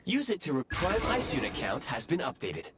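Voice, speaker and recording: A man voicing a composed, courteous machine-like guide in a video game, speaking in formal, measured announcements. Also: fairly high pitch, wildly swooping pitch, background noise. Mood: precise, instructive. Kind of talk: scripted video game voice acting. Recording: game music under the voice.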